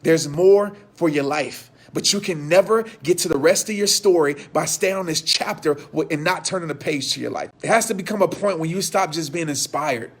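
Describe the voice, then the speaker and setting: A man in his thirties giving an impassioned motivational talk to viewers, hoarse from losing his voice, with a repetitive preaching cadence. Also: a little high, normal speed, hardly any pauses. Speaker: motivational speaker.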